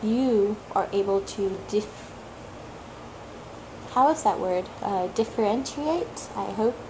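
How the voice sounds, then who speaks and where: A woman for whom English is a third language, talking slowly and reflectively as a tarot reader, repeating words for emphasis and sometimes searching for them. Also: mid-range voice, background noise. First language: not English; English is her third language. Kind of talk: slow reflective monologue.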